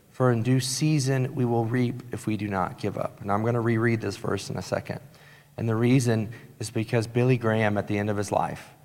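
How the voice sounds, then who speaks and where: A man preaching an earnest sermon with a raspy voice, slowing down to stress key lines. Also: lowish voice. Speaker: pastor. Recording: long hall reverb.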